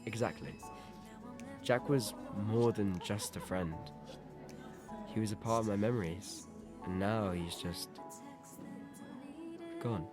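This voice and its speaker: A man plays a wistful teenage boy in an audio drama, with a low voice. He sounds sincere and reflective, with music clearly audible behind him. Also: slow, pauses often. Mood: sad, reflective. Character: Peter, wistful teenage boy attached to his best friend.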